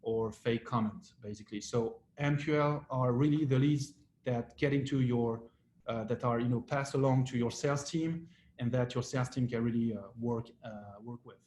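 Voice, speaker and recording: A man from France with a French accent, giving a business presentation to an online audience, halting often with fillers and restarts. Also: medium pitch, fairly even intonation, a little mellow. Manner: hesitant, many fillers and restarts. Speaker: company founder giving a webinar talk. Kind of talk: presentation with slides. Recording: roomy echo with faint ambient noise.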